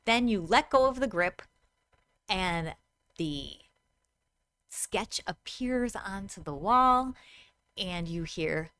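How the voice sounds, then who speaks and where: Woman, thirties, medium pitch, conversational tutorial host, explanatory delivery. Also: animated, takes it slowly, long pauses, fairly bright tone. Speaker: host, VR game designer.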